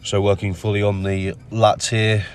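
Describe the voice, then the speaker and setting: A man with a nasal voice from a cold, explaining his gym workout in a relaxed voice-over full of ums. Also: on the low side, moderate pace. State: has a cold. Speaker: bodybuilder narrating his workout. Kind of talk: casual voice-over commentary.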